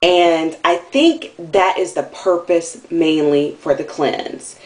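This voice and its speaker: A woman's voice: fairly low pitch, fairly even intonation, slow pace, darkish tone. A young woman talking casually to camera in a video diary, rambling and candid, with lots of ums and likes and a slightly nasal voice. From Atlanta area, US South. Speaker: vlogger.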